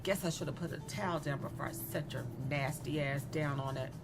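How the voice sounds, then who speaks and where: A woman's voice: low-pitched, fairly quick, over music. A woman playing a cruel, self-absorbed young female killer in a darkly comic audio drama, speaking in a mocking, sardonic, conversational way. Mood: amused, disgusted. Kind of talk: dramatic monologue in an audio horror comedy.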